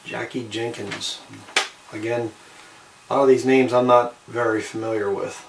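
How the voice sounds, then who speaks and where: Casting: man, medium pitch, casual amateur narrator, unhurried and halting, echoey room with faint noise. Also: steady tone, gravelly. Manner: unhurried, halting, trailing off between short phrases. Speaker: amateur video maker showing a collectible. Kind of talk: casual show-and-tell narration to camera. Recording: roomy, distant mic with faint background noise.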